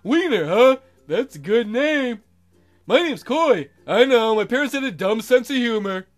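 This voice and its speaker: A man voicing a big, friendly, easygoing male shark student in a visual novel game, loud and chummy. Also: very high-pitched, animated, a little slow, faint music behind, pitched above the performer's own voice. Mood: friendly, upbeat.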